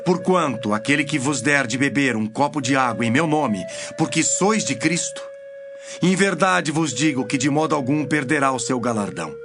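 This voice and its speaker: Guy acting solemn authoritative teacher in a bible audio drama, brazilian accent, measured, music behind. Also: mid-range voice, lively intonation, lightly acted. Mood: earnest warning.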